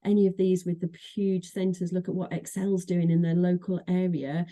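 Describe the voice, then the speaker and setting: Dark-voiced Mancunian woman. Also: on the low side, little pitch movement, moderate pace. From Manchester, England. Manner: chatty, many fillers and restarts. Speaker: association executive director, guest. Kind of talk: podcast interview.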